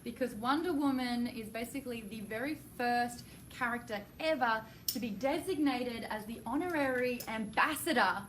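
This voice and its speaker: A bright, bubbly Australian woman interviews guests for a live stream, her voice sitting a little high. She tosses in playful puns. Behind her there is steady chatter and noise from a busy venue. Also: moderate pace, few pauses. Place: large public building in New York.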